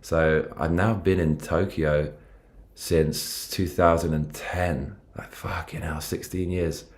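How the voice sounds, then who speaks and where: Deep-voiced London man ranting profanely. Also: level tone, slow. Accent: London English. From London, England. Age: 40s. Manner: profane, rambling, heated. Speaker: commentary livestream host. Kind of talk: ranting political livestream, reading chat comments.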